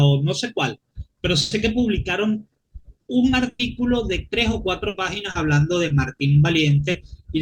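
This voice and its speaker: A man's voice: on the high side, low-quality recording. A Venezuelan-accented man talking informally as an interview guest, detailed and often searching for the right words. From Maracay, Venezuela. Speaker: guest comics historian.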